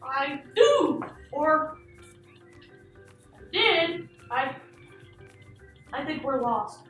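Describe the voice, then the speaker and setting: Lady doing a whiny dim little puppet boy, super high, swoopy, kids' show. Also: big pitch swings, drawn-out words, a bit bright, exaggerated voice. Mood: sheepish. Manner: hesitant, plaintive, naive. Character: slow, dim, whiny young male puppet, easily discouraged. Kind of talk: children's puppet show dialogue. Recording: echoey room, distant mic, music behind.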